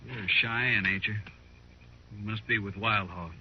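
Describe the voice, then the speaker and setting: A muffled old radio recording with noise behind it carries a lowish male voice. An actor plays a nervous, blustering frontiersman in a western drama, folksy and pushy in his talk. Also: fairly even intonation, a little slow, lightly acted. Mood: blustering, uneasy. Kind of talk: 1950s radio western acting.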